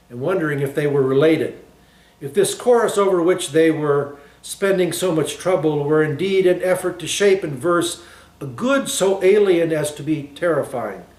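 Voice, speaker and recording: A man narrates a novel in a fairly high male voice. He reads scripted literary prose, recorded in an echoey room. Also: a little mellow. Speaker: audiobook reader.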